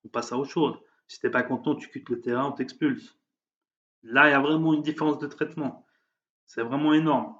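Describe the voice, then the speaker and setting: A man's voice: medium pitch, steady tone, normal speed, fairly bright tone, highs cut off. A man giving an emphatic, heated opinion monologue, hesitating often with fillers. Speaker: vlogger. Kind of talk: opinion monologue on current events.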